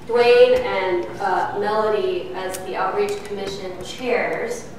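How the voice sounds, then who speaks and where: A woman's voice: medium pitch, steady tone, slow. A middle-aged woman leading outreach ministry, answering questions at a church forum in an earnest, explaining way with frequent fillers. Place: church meeting room. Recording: echoey room, distant mic, faint noise.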